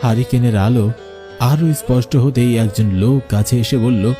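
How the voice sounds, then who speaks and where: A man's voice: medium pitch, normal speed, mellow, faint music behind. A man narrating a scripted cartoon horror story in the first person with suspenseful, dramatic delivery.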